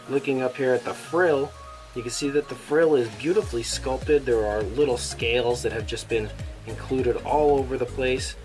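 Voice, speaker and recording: Guy with a cold doing a toy review, bit raspy, keeps saying uh, excited about it. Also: mid-range voice. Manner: enthusiastic, many "uh" fillers. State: sick with a cold, slightly raspy. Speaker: toy reviewer, channel host. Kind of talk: casual product review.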